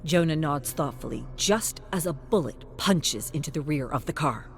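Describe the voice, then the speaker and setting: Man narrating a story over soft music. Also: on the high side, expressive, moderate pace.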